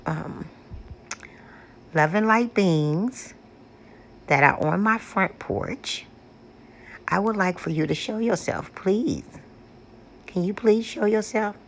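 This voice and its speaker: A woman with a deep, coarse voice, slowly and gently calling out to spirits on her front porch and then chatting casually with her viewers. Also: slight background noise. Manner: gentle, pleading, repetitive calling out. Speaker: paranormal vlogger. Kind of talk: slow, hushed spirit invocations mixed with casual chat to viewers. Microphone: external microphone.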